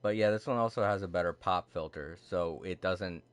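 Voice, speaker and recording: A sleepy man chatting casually on a livestream, rambling and halting with many fillers, self-deprecating. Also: deep voice, smooth. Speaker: streamer. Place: home room with a TV. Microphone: cardioid desk microphone.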